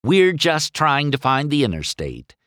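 A man's voice: on the high side, huge pitch swings, measured pace, fairly bright tone, dry recording, studio quality, pitched above the performer's own voice, exaggerated voice. A man voicing an anxious, pleading female minivan, a long-suffering wife in a children's storybook.

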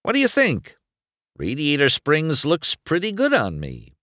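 A man's voice: on the high side, huge pitch swings, a little slow, sharp tone, no room sound, clean studio sound, pitched above the performer's own voice, heavily acted. A man voicing a cocky young male race car, talking in a breezy, showy way. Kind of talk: storybook read-aloud.

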